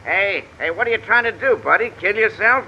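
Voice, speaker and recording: A man's voice: high voice, lively intonation, brisk, highs cut off, a little hiss or hum. An actor playing a brusque male roadblock officer in an old radio drama. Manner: brusque, calls people buddy.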